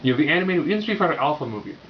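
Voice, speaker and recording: A man's voice: fairly high pitch, very animated, very fast. A man giving a casual, opinionated video review in a wry, rambling, unscripted way. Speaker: movie reviewer. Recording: muffled lo-fi audio with background noise.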